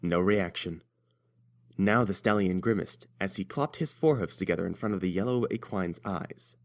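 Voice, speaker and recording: A man's voice: low-pitched, normal speed. A man narrating a scripted story as its reader.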